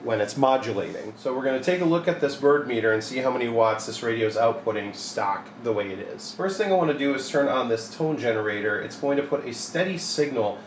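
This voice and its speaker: A man's voice: medium pitch, lively intonation, fairly quick, few pauses. A young man giving an informal hands-on repair tutorial, explaining step by step in a plain-spoken way. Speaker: repair technician demonstrating a modification. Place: small cluttered electronics repair workshop, indoors. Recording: echoey room with clear background noise.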